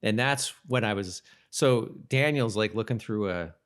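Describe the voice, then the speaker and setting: Male podcast co-host, fairly low pitch, informal recap chat, halting delivery with repeated phrases. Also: a bit bright, clean tone. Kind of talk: casual chat recapping a TV episode.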